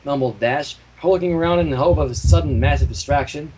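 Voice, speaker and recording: A man reading fan fiction aloud as narrator in an expressive way, sometimes tripping over the text and correcting himself. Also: fairly high pitch, fairly quick, slight background noise, rough recording.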